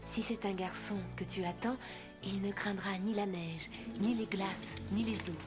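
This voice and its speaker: An actress playing a kindly, tender widow in a French cartoon. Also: female voice, mid-range voice, steady tone, a little slow, over music, close to an everyday voice. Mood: dreamy, hopeful. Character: kindly bereaved widow, supportive woman friend. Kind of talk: French animated series dubbing.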